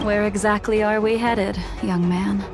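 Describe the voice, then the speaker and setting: Game dub role: aloof swordswoman woman actor, unhurried, gravelly creak, formal and cryptic. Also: medium pitch, steady tone, music in the background, close to an everyday voice. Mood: cool, reserved. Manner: measured, formal, composed. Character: calm, aloof blind swordswoman, cryptic and knowing. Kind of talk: English video game dub acting.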